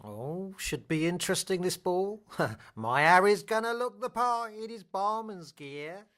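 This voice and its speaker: A woman's voice: lowish voice, expressive, unhurried, a bit bright. A woman playing a cheeky, gossiping working-class washerwoman in a radio play, chatty and familiar in manner. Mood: gossipy. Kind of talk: stage musical radio play acting.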